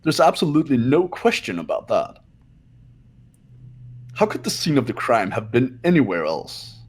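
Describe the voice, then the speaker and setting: Man acting as a haughty young heir, husky voice, condescending, skeptical, video game dub. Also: medium pitch, big pitch swings, normal speed. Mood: disdainful. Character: haughty, confident young male rich-heir student.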